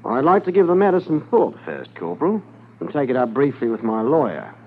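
A cagey, evasive smuggler, acted by a man in a 1950s radio drama. He is hesitant but polite, with a lively moving pitch, heard through a narrow old recording with faint hiss. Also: fairly quick, natural voice. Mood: guarded. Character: evasive, cagey male gold smuggler under arrest. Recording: noisy, narrow-band 1950s radio transcription.

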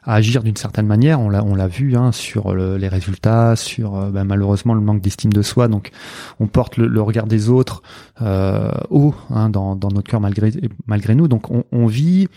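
Middle-aged man with a Marseille accent, dry close sound, halting casual talk. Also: lowish voice, dark tone, dry recording. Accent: Marseille French. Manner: hesitant, many fillers and restarts. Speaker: guest, coach and author.

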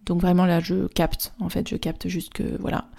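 A woman's voice: on the low side, completely flat delivery, normal speed, gravelly, dark tone. A young woman from France telling a personal story in a casual, rambling way, with slang, fillers and restarts. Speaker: spiritual therapist and vlogger.

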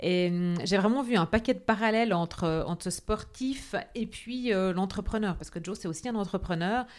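A woman's voice: medium pitch, moderate pace. A woman hosting a podcast, reading a scripted intro and then speaking hesitantly with many fillers. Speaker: podcast host.

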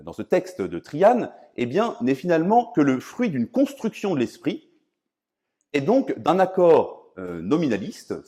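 A man from France lecturing on philosophy in a didactic, emphatic way, often pausing to search for words. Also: mid-range voice, warm tone. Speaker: priest and philosophy professor giving a lecture. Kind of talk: public philosophy lecture, semi-improvised.

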